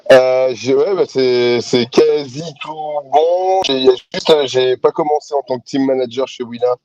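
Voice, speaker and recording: A man's voice: medium pitch, normal speed, a little hiss or hum. A man in his forties, born in New Caledonia and raised in France, chatting casually as an interview guest over a phone call from an outdoor terrace. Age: 40s. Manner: relaxed, chatty, many fillers. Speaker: interview guest, poker commentator. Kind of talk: casual remote interview chat. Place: outdoor terrasse, sitting on a pouf. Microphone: phone on a video call.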